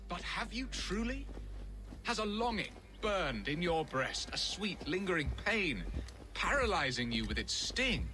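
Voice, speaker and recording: A man's voice: high voice, very animated, measured pace, bright, forceful tone, a little hiss or hum, exaggerated voice. A man acting a melodramatic, self-deprecating Saxon lord in a video game, with flowery, wistful romantic speech. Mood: wistful, dramatic. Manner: theatrical, flowery, musing speech. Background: game music.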